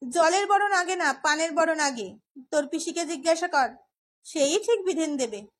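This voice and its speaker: A woman's voice: extremely high pitch, animated, moderate pace. A woman voicing a blunt village woman who answers firmly and curtly in an audio story.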